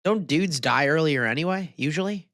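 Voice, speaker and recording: Guy cohosting a podcast, super animated pitch, incredulous slangy banter, dry sound. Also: moderate pace, no room sound. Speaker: podcast co-host. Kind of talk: casual chat.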